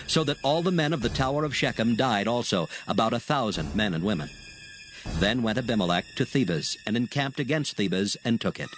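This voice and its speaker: A man narrating a dramatized Bible in a solemn, measured storytelling style. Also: mid-range voice, a little hiss or hum. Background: background music.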